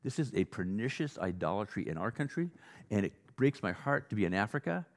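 A man's voice: on the low side, very animated, brisk. An American man preaching a conversational sermon, telling anecdotes and exhorting listeners in an informal way. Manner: informal, acts out dialogue, exhorting. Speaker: pastor preaching. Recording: reverberant hall.

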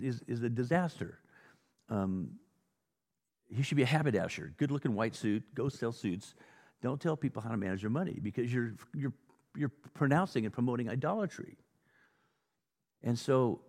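A man's voice: mid-range voice, darkish tone. An American man preaching a conversational sermon, telling anecdotes and exhorting listeners in an informal way. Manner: informal, acts out dialogue, exhorting. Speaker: pastor preaching. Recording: reverberant hall.

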